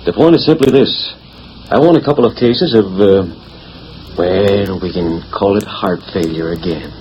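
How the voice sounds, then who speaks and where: An actor playing a smug, scheming blackmailer in a 1940s radio western, mocking and coolly threatening in a mock-genteel way. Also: male voice, sits low, normal speed, natural voice. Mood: coolly menacing. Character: smug, menacing male villain, a scheming blackmailer. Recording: dull, faintly noisy 1940s radio recording.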